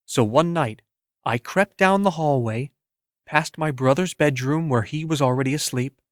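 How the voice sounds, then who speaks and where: A man's voice: mid-range voice, dry recording. Male narrator for literary first-person audiobook fiction, recorded dry and close.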